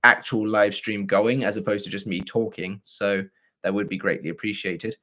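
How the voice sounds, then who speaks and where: A hoarse young man with a scratchy, throaty voice, hosting a livestream and chatting casually with viewers. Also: lowish voice, fairly even intonation, moderate pace, pushed and bright. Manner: chatty and rambling, with frequent fillers and throat clearing. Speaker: livestream host. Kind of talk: casual livestream chat answering viewer comments.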